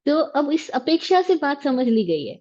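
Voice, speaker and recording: A woman's voice: high voice, big pitch swings, normal speed, darkish tone. Woman scripture teacher, lecture, chatty and questioning, slightly muffled lo-fi sound. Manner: conversational, rhetorical questions, mixes English phrases. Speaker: Jain religious teacher.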